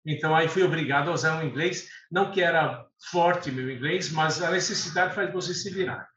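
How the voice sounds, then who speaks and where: Lebanese-born guy in his 60s, bright voice, pretty echoey room, kinda dull sound. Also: a little high. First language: Arabic. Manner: unhurried, many fillers and restarts. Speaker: guest, eye doctor. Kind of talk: conversational interview.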